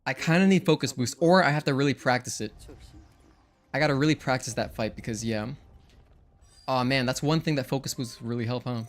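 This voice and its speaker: A man's voice: mid-range voice, fairly quick. A young man whose first language is Spanish, chatting casually to viewers while he plays a game. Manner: chatty, thinks out loud, counts combo hits. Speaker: streamer. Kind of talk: casual gameplay commentary.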